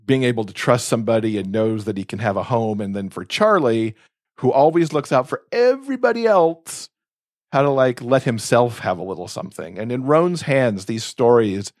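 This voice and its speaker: A man's voice: medium pitch, lively intonation, moderate pace, darkish tone, dry recording, clean studio sound. A man chatting casually and effusively about books as a podcast co-host, with emphatic delivery. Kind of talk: casual enthusiastic book chat.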